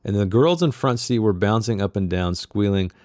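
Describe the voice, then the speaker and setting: A man with a lowish, very mellow voice, close and dry with no room sound. He reads fiction aloud as a book club host, slipping into informal asides as he goes. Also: lively intonation, normal speed, no echo. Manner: informal asides, acts out character dialogue. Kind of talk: reading a novel aloud with casual commentary.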